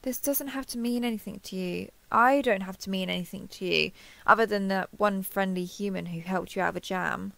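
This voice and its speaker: A woman's voice: mid-range voice, brisk, gravelly, hardly any pauses, fairly bright tone, no room sound. A woman playing a kindhearted, awkward young woman who gets easily flustered, speaking hesitantly and earnestly in an intimate roleplay audio drama.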